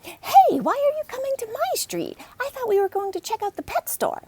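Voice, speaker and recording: Woman voicing a sassy, excitable young girl. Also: very high voice, moderate pace, slight background noise, voice pushed up, full character voice. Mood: demanding, surprised.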